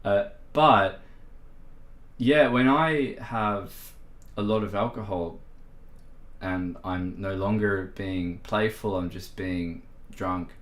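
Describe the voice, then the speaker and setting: A man's voice: on the low side, takes it slowly, fairly bright tone. A man hosting a casual livestream, chatting in a rambling, self-deprecating way with plenty of fillers. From Australia. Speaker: livestream host. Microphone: streaming microphone. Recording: echoey room.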